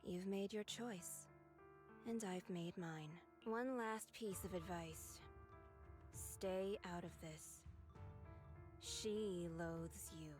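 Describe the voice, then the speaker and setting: A woman playing a cold, resigned young antagonist in a video game, measured and aloof. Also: fairly low pitch, darkish tone. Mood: resigned, cutting. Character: cold, resigned young woman, loyal member of villainous faction. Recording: faint background music.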